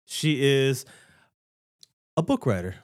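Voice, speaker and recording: A man's voice: medium pitch, expressive, slow pace. A man hosting a podcast in casual, laughing banter, swearing freely. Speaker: podcast host.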